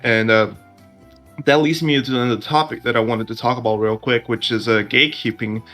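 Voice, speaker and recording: Bright-voiced man. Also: medium pitch, fairly even intonation, a little fast, soft background music. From Brazil. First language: Portuguese. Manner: earnest, with restarts. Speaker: podcast co-host. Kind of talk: casual chat.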